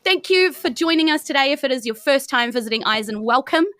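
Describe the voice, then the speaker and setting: A woman with a New Zealand accent, excitedly hosting a podcast as game master in a casual chatty style. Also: high voice, fast talker, no echo, clean studio sound. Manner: excited, hyped. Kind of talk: podcast introduction, casual chat.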